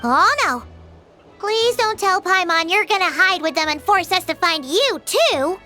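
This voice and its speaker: A woman voicing a tiny, bubbly, easily indignant girl companion in a video game, with a squeaky childlike voice and exclamatory delivery. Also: expressive, a little slow, pushed and bright, heavily acted. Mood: fretful. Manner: refers to herself by name, exclamatory. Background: game background music.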